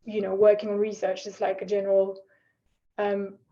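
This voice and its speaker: A woman's voice: mid-range voice, completely flat delivery, moderate pace, mellow, muffled recording. A woman facilitating a workshop on a video call, chatty and full of fillers. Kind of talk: casual chat on a video call.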